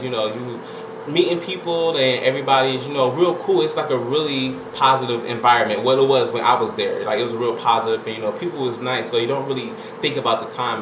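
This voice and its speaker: A man, casually talking through his experience in a rambling, chatty way with lots of fillers. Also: medium pitch. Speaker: vlogger. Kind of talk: casual vlog recounting a singing audition. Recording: echoey room with loud air-conditioning hum.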